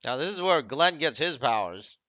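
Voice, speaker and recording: Man, forceful bright voice, casual commentary, nitpicking, dry lo-fi recording. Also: medium pitch, lively intonation, moderate pace, no echo. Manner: critical, nitpicking. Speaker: commentator on video game cutscenes. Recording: dull, lo-fi dry microphone recording.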